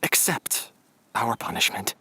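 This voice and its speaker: A man acting a resigned, apologetic youth in a game, halting and subdued. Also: on the low side, very animated, pushed and bright, a little hiss or hum, heavily acted. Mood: resigned, contrite. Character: contrite, resigned youth admitting guilt.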